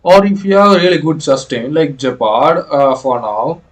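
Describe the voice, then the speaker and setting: A man giving explanatory video game playthrough commentary in a halting, hesitant way. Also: fairly high pitch, lively intonation. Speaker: gaming video creator.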